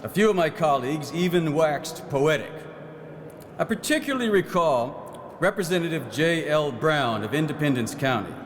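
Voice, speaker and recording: An actor playing a proud, folksy 1909 farm-minded legislator who reminisces in a plain-spoken theatrical monologue. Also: male voice, a little high, expressive, normal speed, close to an everyday voice. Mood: wry, reminiscing. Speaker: actor in historical re-enactment. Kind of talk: theatrical first-person historical monologue. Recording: echoey hall, clear background noise.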